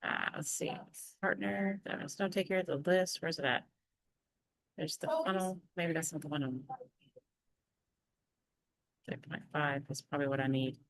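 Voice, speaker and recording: A woman's voice: low-pitched, normal speed, long pauses, poor audio. A woman teacher giving an informal screen-share walkthrough, thinking aloud step by step in a conversational way. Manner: conversational, explains step by step with self-questions and check-ins.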